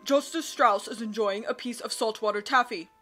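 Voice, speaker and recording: A woman's voice: on the high side, normal speed, a bit bright, faint music behind, natural voice. A woman voicing a stern villainous woman with an urgent, accusing manner in a storybook reading.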